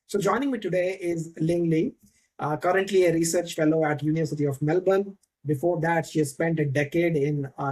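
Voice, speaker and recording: A man hosting an interview podcast, with a voice that sits a little high for a man. He speaks conversationally and often hesitates, restarting phrases and filling gaps. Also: a little mellow, breathy edge, poor audio. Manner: hesitant, frequent fillers and restarts. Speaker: podcast host.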